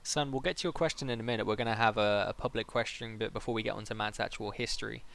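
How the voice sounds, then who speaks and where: A man's voice: fairly low pitch, steady tone, fast, vocal fry, a bit bright. Man hosting a casual live interview. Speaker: interviewer and livestream host.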